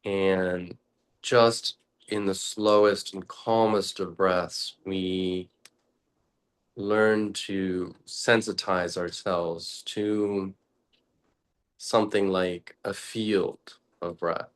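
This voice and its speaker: A man leading a guided meditation talk as a teacher, speaking deliberately in short, halting phrases with long pauses. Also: low-pitched, level tone, smooth.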